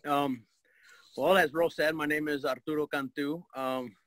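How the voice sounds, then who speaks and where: A man from Michigan, telling his life story as an interview guest, hesitant, with many pauses and restarts. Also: on the high side, fairly even intonation, a bit bright, no room sound. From Michigan, USA. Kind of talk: casual spoken life story.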